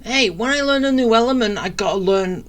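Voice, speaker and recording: A woman's voice: a little high, expressive, a little fast. A woman giving casual, wry commentary while playing a video game, in an offhand way. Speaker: gamer commenting on play. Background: game music.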